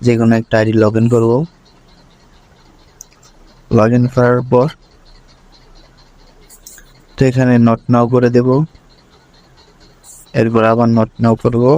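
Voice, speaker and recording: A man's voice: mid-range voice, dark tone, no room sound, rough recording. A man presenting a screen tutorial step by step, linking each instruction to the last in a repetitive way.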